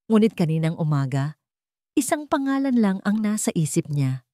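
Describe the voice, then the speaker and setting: A woman's voice: medium pitch, very animated, normal speed, dark tone, dry recording, clean studio sound. A woman with a Filipino accent giving a scripted, dramatic narration of a story.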